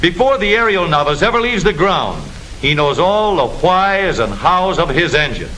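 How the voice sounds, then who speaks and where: A bright, forceful American man narrates in the instructive, declamatory style of a 1940s military newsreel. His delivery swings widely in pitch. The old film soundtrack carries a light layer of noise. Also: expressive, normal speed, poor audio.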